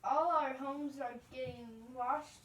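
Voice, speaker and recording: A young girl voicing a sweet, cheerful farm lamb puppet, reading scripted children's show lines haltingly. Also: sits high, fairly even intonation, fairly bright tone. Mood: cheerful, earnest. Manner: halting, stumbling read-aloud delivery. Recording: roomy, distant home recording.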